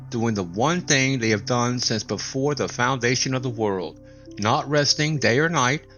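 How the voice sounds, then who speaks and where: A man narrating a scripted, dramatic Bible explanation in a solemn, deliberate way with emphatic pauses. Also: medium pitch, a bit bright. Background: music.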